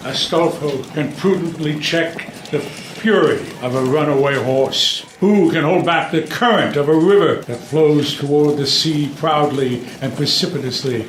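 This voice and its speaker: A man's voice: on the high side, a little hiss or hum. An actor playing a grave, proud old king, declaiming solemnly in a classical drama reading. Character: grave, proud old king lamenting his ruined realm. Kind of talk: unrehearsed table read of classical verse drama. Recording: echoey room.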